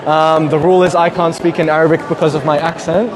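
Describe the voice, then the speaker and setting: A man interviewing guests at a gala dinner, friendly and chatty, quick to agree. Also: on the high side, flat delivery. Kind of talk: upbeat event interview. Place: crowded hotel function room. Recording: loud crowd chatter.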